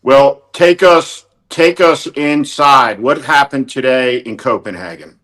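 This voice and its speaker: Male host, measured delivery, direct questions, mid-range pitch. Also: a bit bright. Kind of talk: live news interview.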